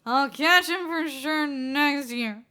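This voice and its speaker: A woman's voice: sits high, slow pace, pushed and bright, full character voice. A woman voicing a proud, frazzled male police detective who growls and strains through clenched teeth in a story reading. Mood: furious.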